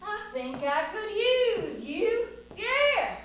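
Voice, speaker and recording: Plucky boastful cowboy acted by a woman, folksy Western drawl, bright and swooping, for a staged children's tall tale. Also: very high voice, big pitch swings, very slow, pitched above the performer's own voice, exaggerated voice. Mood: coaxing. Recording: very echoey room, faint noise.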